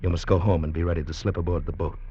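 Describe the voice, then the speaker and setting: A man playing a grave convict narrator in a tropical prison colony, telling his story in measured, dramatic 1940s radio style. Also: sits low, fairly even intonation, warm tone, slightly rough. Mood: urgent, reassuring. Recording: dull vintage radio recording with music behind.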